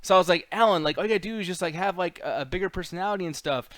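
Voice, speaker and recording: A man's voice: high voice, rapid-fire, a bit bright, no echo. A man hosting a casual chat show, rambling and swearing as he argues. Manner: rambling, profane, argumentative. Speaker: show host. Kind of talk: casual chat, bantering argument.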